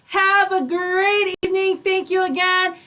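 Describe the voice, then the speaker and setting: Very high-pitched, gushing woman radio host. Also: monotone, unhurried, sharp tone. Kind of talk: upbeat live radio show sign-off chat.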